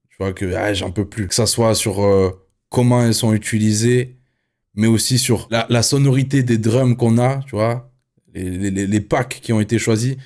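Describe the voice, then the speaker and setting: A man streaming live and reviewing an album for his viewers, who swears constantly and swings from mulling things over to excited, laughing rants at the chat. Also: lowish voice, normal speed. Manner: swears a lot, addresses chat, jumps from musing to excited ranting; laughs often.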